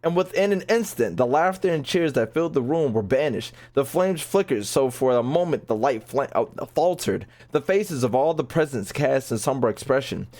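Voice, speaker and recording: A man's voice: medium pitch, expressive, normal speed, few pauses, a little mellow. A man livestreaming a video game, chatting casually with slang and swearing, often repeating himself and stumbling over words. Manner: stumbles often when reading aloud; slangy, profane, repeats phrases emphatically. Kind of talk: casual livestream commentary, reading game text aloud and chatting.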